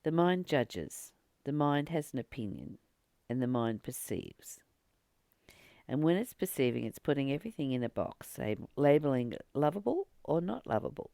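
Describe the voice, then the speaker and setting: A spiritual teacher reading aloud and reflecting on a text in a deliberate, earnest way, pausing between short phrases. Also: mid-range voice, very animated, vocal fry, warm tone, clean tone, no room sound, clean studio sound.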